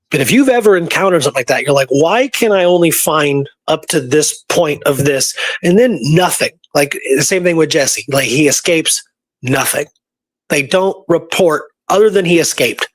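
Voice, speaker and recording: A man with a fairly high voice talks casually as a show co-host. He explains things like a storyteller, checking in as he goes, and the sound is very dry and close. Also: dry recording. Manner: animated storyteller, often tags points with "right?". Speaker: show co-host and history researcher. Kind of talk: casual chat.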